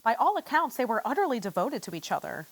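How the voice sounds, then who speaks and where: Brash high-voiced wisecracking lady reporter. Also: sing-song, fast, low-quality recording. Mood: brisk, gossipy. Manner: slangy, snappy, sardonic. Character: brash, wisecracking woman newspaper reporter, 1940s style. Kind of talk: old-time radio play acting.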